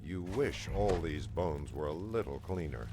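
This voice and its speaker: A man voicing an ominous, solemn dungeon narrator in a fantasy game, telling the scene gravely. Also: a bass voice, expressive, a little slow, background noise, voice pushed down, exaggerated voice. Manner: grave, atmospheric storytelling.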